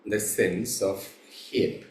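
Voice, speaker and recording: A man with a fairly low voice leads a guided meditation in an echoing room. He speaks very slowly, setting out each instruction in short, measured phrases. Long pauses separate one phrase from the next. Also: steady tone, darkish tone. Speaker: meditation teacher. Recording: roomy, echoing room sound.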